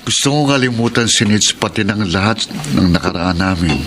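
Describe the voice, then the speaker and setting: A man's voice: on the low side, expressive, moderate pace, noisy background, close to an everyday voice. A man acting a troubled, guilt-ridden husband in a Filipino radio drama, brooding and confessional, with a Filipino accent. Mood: confused, confessing. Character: troubled, guilt-ridden man torn between two women. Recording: music behind the voice.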